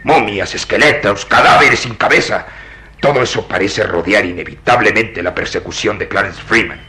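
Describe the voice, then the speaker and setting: An actor playing a stout, baffled and excitable police inspector in a radio drama, theatrical, incredulous and emphatic. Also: male voice, on the low side, moderate pace. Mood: doubtful. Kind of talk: old radio drama acting.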